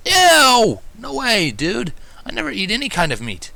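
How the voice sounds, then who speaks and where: A man voicing a cheerful, excitable little boy dragon in a fan fiction reading, with eager, childlike, casual slangy talk. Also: high-pitched, unhurried, gravelly, pitched above the performer's own voice, heavily acted. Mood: horrified.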